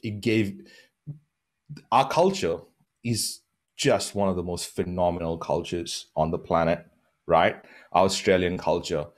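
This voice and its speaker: A man's voice: low voice, unhurried. A man from Bahrain in his thirties, a podcast guest talking earnestly from his home over a video call, laughing often and restarting his sentences. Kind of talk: reflective interview conversation.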